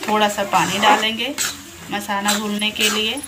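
A woman's voice: medium pitch, level tone, normal speed. A woman hosting a home cooking channel, explaining each step of a recipe in a patient, instructive voice-over. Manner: patient, repeats "dekhiye" to point things out. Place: home kitchen over a frying pan. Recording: loud frying noise under the voice. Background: loud sizzling and stirring of a pan.

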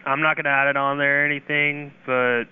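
A man's voice: mid-range voice, little pitch movement, brisk, clean tone. A man working as a hotel clerk, talking casually, politely and in an easygoing way on the phone. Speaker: hotel front desk clerk. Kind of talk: casual phone customer service. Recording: narrow phone line.

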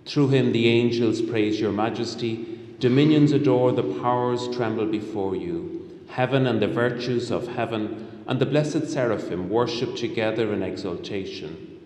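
A middle-aged man with a fairly low voice recites liturgy as a bishop. His delivery is solemn, formal and measured. The sound rings with long chapel reverb. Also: a little mellow. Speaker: bishop celebrating Mass. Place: large hospital chapel, indoors. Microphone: microphone on altar stand.

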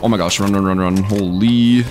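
A young American man with a slightly hoarse voice, giving casual, slangy gameplay commentary as the host of a gaming video. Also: on the low side, steady tone, normal speed. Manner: slangy, rambling, addresses viewers as boys. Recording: game music behind voice. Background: video game music.

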